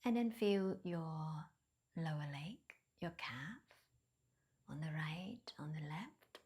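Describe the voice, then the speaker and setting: A woman leading a guided meditation in a soft, breathy near-whisper, with gentle, hesitant non-native phrasing. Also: deep voice, animated, takes it slowly. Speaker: meditation teacher.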